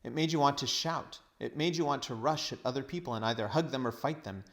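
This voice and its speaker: A man reading a storybook aloud as narrator, with expressive, deliberate phrasing. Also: medium pitch.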